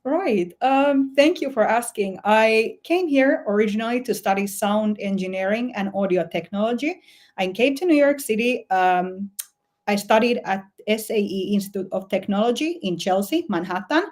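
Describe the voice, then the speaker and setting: A woman with a Finnish accent answers questions as a guest musician. She speaks thoughtfully and hesitates now and then, with plenty of "like" in her sentences. Her voice sits at an ordinary pitch for a woman. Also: expressive, normal speed, a little mellow. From Finland. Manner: thoughtful, hesitant, many "like" fillers. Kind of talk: casual interview answers.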